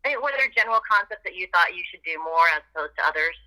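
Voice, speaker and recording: Sharp, bright male reporter on phone. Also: high-pitched, lively intonation, racing, low-quality recording. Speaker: sports reporter. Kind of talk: asking questions on a press conference call. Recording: thin telephone conference-call line.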